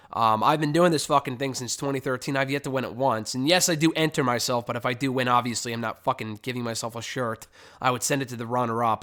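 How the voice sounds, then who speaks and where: A man's voice: mid-range voice, a bit bright. A man in his twenties hosting his own web show, sounding congested from a cold, chatting in a rambling way and swearing casually. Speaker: podcast host. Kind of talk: casual solo webcast.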